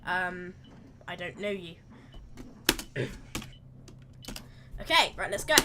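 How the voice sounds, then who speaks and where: A woman with a slightly croaky voice, rambling chattily through live gameplay commentary and correcting herself as she goes. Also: lowish voice, expressive, slow pace, very noisy. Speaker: game streamer.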